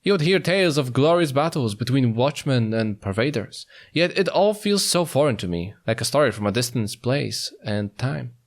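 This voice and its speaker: Expressive man narrating a story game. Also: mid-range voice, normal speed, few pauses. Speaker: player reading a visual novel aloud. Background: guitar music.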